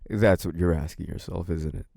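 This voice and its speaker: A man's voice: low-pitched, steady tone, normal speed, creaky, mellow, no room sound, clean studio sound. A man playing a young mafia heir in an audio roleplay, a cunning schemer who wears politeness as a mask. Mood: smug, menacing. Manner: hesitant and apologetic act, turning smug and taunting. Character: young mafia heir, feigned naive politeness hiding cunning, possessive menace. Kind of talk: dramatic first-person audio roleplay.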